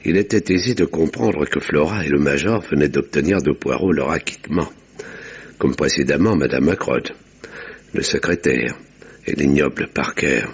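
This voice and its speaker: An actor playing a sombre village doctor who narrates a radio murder mystery in a measured, formal, literary way, with a gravelly, husky voice on a dull vintage recording. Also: male voice, extremely low pitch, full character voice. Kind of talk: literary narration in 1950s-style radio drama.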